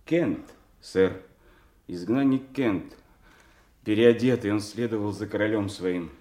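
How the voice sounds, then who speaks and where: A noble young avenger, solemn and full of sorrow. His low voice declaims slowly and gravely in classical stage style, echoing in a roomy space. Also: warm tone. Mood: grieving, intense. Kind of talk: classical Shakespearean stage acting for audio drama.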